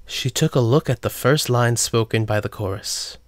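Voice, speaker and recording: A male narrator reads a scripted story for an audio drama. His voice sits at a middle pitch and is recorded close and dry. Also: normal speed, no room sound.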